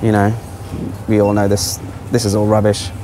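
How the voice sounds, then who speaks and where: A man's voice: lowish voice, monotone, normal speed, over music, low-quality recording. A man giving casual, offhand, exclaiming commentary as a travel vlogger walking around a supermarket. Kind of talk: casual running vlog commentary. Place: large supermarket aisles.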